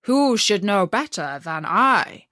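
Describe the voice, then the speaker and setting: A woman's voice: medium pitch, sing-song, slow pace, pushed and bright, dry recording, clean studio sound, heavily acted. A woman voicing a proud, stately reindeer in a storybook, wistful for a snowy homeland.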